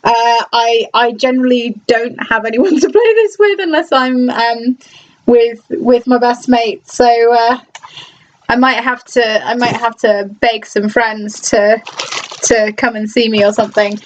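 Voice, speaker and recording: A woman's voice: fairly high pitch, fairly even intonation, unhurried, a little hiss or hum. A young woman, chatting casually in a home vlog, hesitant and full of restarts. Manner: hesitant, lots of restarts and ums. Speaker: cosplayer and vlogger. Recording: slightly dull lo-fi sound.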